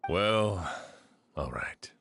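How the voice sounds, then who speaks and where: A man acting a weary, fair-minded sheriff in a video game, speaking in a measured, authoritative way. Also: sits low, expressive, gravelly, a bit bright, a little hiss or hum, heavily acted. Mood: grave, conceding. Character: weary, gruff but fair town sheriff, adult man. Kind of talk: scripted video game dialogue.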